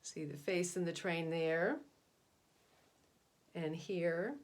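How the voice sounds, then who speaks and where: Lower-voiced middle-aged woman presenting children's books. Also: fairly even intonation, slow. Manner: explanatory, asks listeners questions. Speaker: read-aloud presenter. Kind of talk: informal children's book talk to young listeners.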